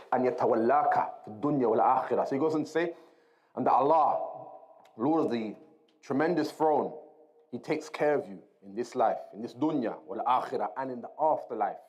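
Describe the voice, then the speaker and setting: Man teaching a religious lesson, repeating key terms. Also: mid-range voice. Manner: mixes Arabic quotations into English explanation. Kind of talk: Islamic lesson explaining a text.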